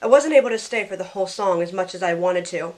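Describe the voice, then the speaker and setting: A woman's voice: on the low side, expressive, quick pace, darkish tone, close to an everyday voice. A woman playing a grieving young female survivor, narrating her story earnestly in an amateur audio drama. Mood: sorrowful. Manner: earnest storytelling, tearful in dialogue.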